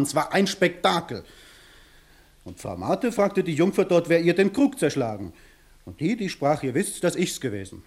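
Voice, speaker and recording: Young rustic villager, high-ish male voice, lively swings, excitable, earnest testimony, stage-style radio drama. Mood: rueful, animated. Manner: excitable, earnest storytelling in rustic, old-fashioned phrasing. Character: young village lad, rustic, hot-headed, testifying in court. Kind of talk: 1960s German radio play acting.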